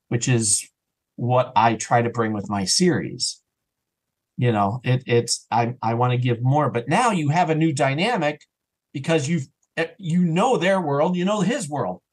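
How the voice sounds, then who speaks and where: A man talking as a podcast guest in a casual, enthusiastic and animated way, slipping into imagined remarks as an audio drama creator. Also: medium pitch, slightly rough. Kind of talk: casual enthusiastic interview chat.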